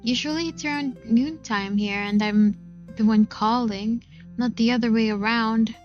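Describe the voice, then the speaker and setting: A woman reading a visual novel aloud in an expressive, unhurried first-person narration. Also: a little high, dull audio. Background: game music.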